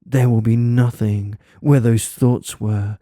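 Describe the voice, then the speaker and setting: A man delivers a commanding hypnosis script at a very slow, deliberate pace. His voice is deeply dark and mellow, sitting at an ordinary pitch, and the recording is dry and close with no room sound. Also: fairly even intonation, no room sound. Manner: dominant, commanding, repetitive. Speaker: hypnosis audio creator.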